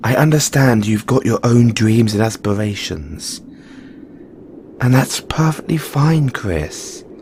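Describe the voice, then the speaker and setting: A man's voice: medium pitch, lively intonation, slow pace, a little mellow, slight background noise. A man playing a frank, caring Christmas elf in an audio roleplay, earnest and informal, chiding yet reassuring. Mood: understanding. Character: frank, caring male Christmas elf, weary but supportive. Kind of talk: second-person audio roleplay monologue.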